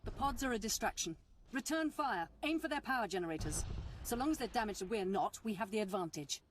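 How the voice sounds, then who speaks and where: A woman playing a brisk, steely imperial warship officer in a video game, clipped and commanding. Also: a little high, expressive, slight background noise, close to an everyday voice. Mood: urgent, commanding. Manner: clipped, commanding, deferential to superiors.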